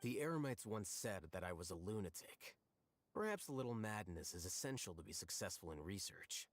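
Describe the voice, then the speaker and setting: A man's voice: lowish voice, very animated, fairly quick. An actor voicing an aloof, smug male scholar in a video game, dry and self-satisfied.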